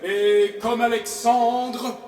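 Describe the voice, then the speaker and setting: An actor playing a cynical, swaggering aristocratic seducer in a classical French theatre monologue, grandiloquent and swooping between loud boasts and hushed asides. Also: male voice, very high voice, little pitch movement, very slow, faint music behind, exaggerated voice. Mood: grandiose, conquering. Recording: echoey, reverberant hall.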